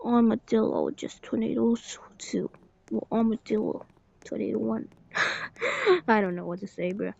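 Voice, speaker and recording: A woman giving excitable live gameplay commentary, with frequent short repeated exclamations. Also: a little high, unhurried, vocal fry, dull audio. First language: Thai. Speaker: gamer playing a storm-chasing game.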